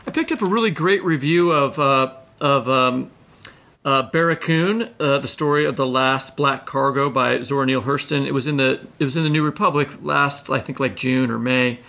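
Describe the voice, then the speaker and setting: A man co-hosting a conversational literary interview, discursive and halting, often checking in with the listener. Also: mid-range voice, gravelly, bright, forceful tone. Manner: discursive, halting phrasing, checks in with "right?" and "you know". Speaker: podcast co-host.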